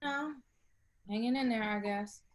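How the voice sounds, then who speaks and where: A woman plays an awkward young woman with a playful, sarcastic streak. Her voice sits a little high, and she talks casually and wryly, as in a script table read. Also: a little slow, close to an everyday voice. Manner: casual, slangy, wry.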